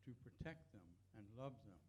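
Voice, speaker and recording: A man's voice: mid-range voice, animated, moderate pace, darkish tone, husky. A man leading a church service, giving blessings and announcements in an earnest, unscripted, encouraging way.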